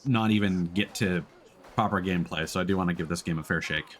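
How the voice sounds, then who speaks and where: Low-pitched male streamer, casual. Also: fairly quick, fairly bright tone. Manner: hesitant, thinking aloud. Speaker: game streamer. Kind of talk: casual live gameplay commentary.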